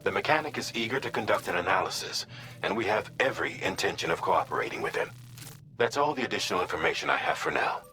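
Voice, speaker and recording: A formal mission briefer in a game. His lowish voice is bright and forceful, precise and composed, with faint music underneath. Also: expressive, fast talker, heavily acted. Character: businesslike male mission briefer giving formal orders.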